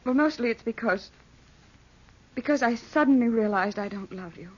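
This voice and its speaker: An actress playing an earnest, anguished young fiancée in 1940s radio melodrama style, hesitant and tremulous. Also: female voice, a little high, lively intonation, moderate pace, darkish tone. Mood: sorrowful resolve. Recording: muffled old radio drama recording.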